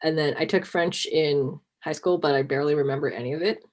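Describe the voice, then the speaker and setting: A woman guest whose first language is Cantonese, chatting in a relaxed way with plenty of fillers. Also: deep voice, brisk. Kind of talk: casual interview chat.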